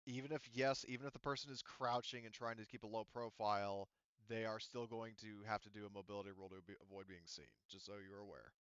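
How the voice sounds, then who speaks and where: A man acts as game master for a tabletop game in a fairly low, clean voice. He narrates and explains rules casually, often pausing to think aloud. The recording is dry and slightly dull. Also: fairly even intonation, a bit bright, clean tone, no echo.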